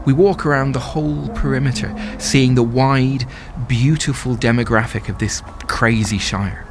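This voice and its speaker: A man performing a dramatic first-person monologue as a lovestruck, excitable young festival-goer, with poetic, rhythmic delivery. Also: medium pitch, moderate pace, background noise, close to an everyday voice. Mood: excited, confiding. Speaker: solo performer. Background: music and sound design behind the voice.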